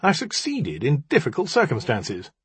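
Man voicing a sardonic, husky lioness jinni. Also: a little high, huge pitch swings, normal speed, dry recording, pitched above the performer's own voice, full character voice. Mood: indignant. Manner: sarcastic, colloquial, self-justifying. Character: sardonic, defiant jinni in warrior lioness form. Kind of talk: audiobook character dialogue.

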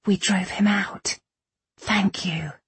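A wounded, stubborn young woman in an audiobook novel, acted by a woman narrator. Her voice is very breathy and husky, raised in pitch and recorded dry. She is frank, weary and insistent, with a sardonic edge. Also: expressive, a little slow, a little mellow, no echo. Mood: faint, spent.

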